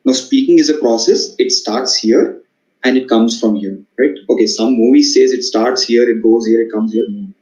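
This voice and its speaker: A man's voice: medium pitch, normal speed, mellow. Earnest South Indian man, echoey talk. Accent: South Indian English. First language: Tamil. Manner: earnest, repetitive, frequent fillers and restarts. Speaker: guest speaker. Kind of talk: motivational talk on communication. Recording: echoey room, dull sound.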